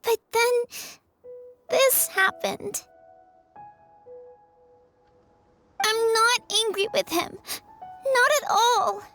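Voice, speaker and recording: Slow, high-pitched wistful sick child. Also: female voice, fairly even intonation, bright, forceful tone, soft background music, heavily acted. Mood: sorrowful, earnest. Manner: hesitant, halting, plaintive. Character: sickly, wistful young child in a wheelchair. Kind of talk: video game voice acting.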